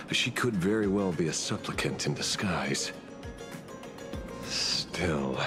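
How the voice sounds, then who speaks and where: Deep-voiced, sly veteran investigator. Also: male voice. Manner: casual, wry, theatrical teasing. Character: sly, teasing veteran male investigator with informants. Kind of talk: video game voice acting. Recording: music behind the voice.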